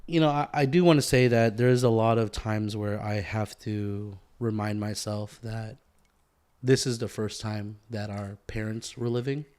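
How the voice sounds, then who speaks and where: A man with an American accent chatting casually as a podcast co-host, reflective and halting, often repeating himself. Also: on the low side, fairly even intonation, darkish tone, clear, resonant tone. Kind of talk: casual chat.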